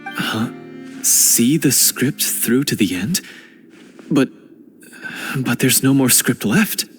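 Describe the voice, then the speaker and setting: A man's voice: medium pitch, expressive, takes it slowly, soft background music. A man voicing a remorseful young male hunter in a game cutscene, speaking in an earnest, hesitant, self-reproaching way and stammering at times. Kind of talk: video game cutscene voice acting.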